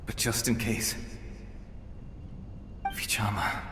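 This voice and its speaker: A man voicing a dying young warrior in a game, gentle and sighing, speaking last words in halting phrases. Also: lowish voice, fairly even intonation. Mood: resigned, tender. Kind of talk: dramatic game voice acting. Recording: echoey hall reverb, noisy ambience.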